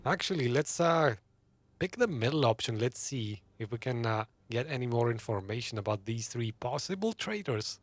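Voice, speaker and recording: Chatty male game streamer, mid-pitched. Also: lively intonation, normal speed, dry recording. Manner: chatty, joking, thinking aloud. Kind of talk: casual live playthrough commentary.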